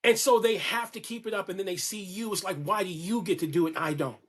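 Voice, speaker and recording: A man's voice: high-pitched, fast, a bit bright, slightly rough. A man speaking as a podcast guest, giving an impassioned, preachy monologue that repeats key lines for emphasis. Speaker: guest on a podcast, kink educator.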